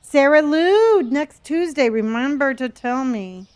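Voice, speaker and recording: Older woman, early 60s, casual streamer type, talks to chat and trails off mid-thought, slightly higher voice. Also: animated, slow. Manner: chatty, reads chat aloud, trails off and restarts.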